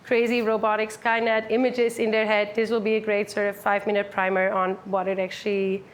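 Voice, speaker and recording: A woman's voice: medium pitch, little pitch movement, fairly quick, a little hiss or hum. A woman in her twenties from the Netherlands, giving a casual, upbeat meetup talk to a full room, with lots of "like" and "um". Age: 20s. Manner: upbeat, chatty, many fillers like "like" and "um". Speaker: meetup speaker. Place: full room with a live audience.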